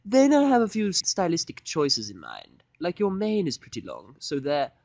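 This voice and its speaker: A refined lady pony fashionista, acted out by a man for a fan audiodrama. The voice sits high and swoops theatrically, stretching words with fussy, flattering gentility. It is recorded close and dry. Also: vocal fry, no echo, full character voice. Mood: eager, gushing. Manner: affected, drawn-out, genteel delivery with terms of endearment. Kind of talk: audiodrama fan fiction character voice.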